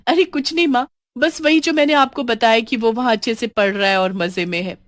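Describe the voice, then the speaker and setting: An earnest, good-hearted young woman, played by a female storyteller. Her storybook delivery is expressive and girlish, and the recording is dry. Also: fairly high pitch, a little fast, no room sound, pitched above the performer's own voice, natural voice. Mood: reassuring. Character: kind, hard-working young woman, devoted to her family. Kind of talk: dramatised storybook dialogue.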